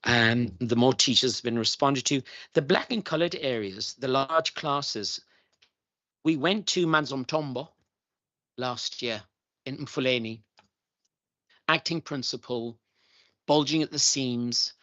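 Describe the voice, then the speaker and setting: A man's voice: medium pitch, measured pace, long pauses, sharp tone, dry recording. Man, middle-aged, formal committee testimony, emphatic and repetitive, dry close sound, dull lo-fi audio. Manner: earnest, emphatic, repeats key points. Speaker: chief schools evaluator testifying to a committee. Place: parliamentary committee room.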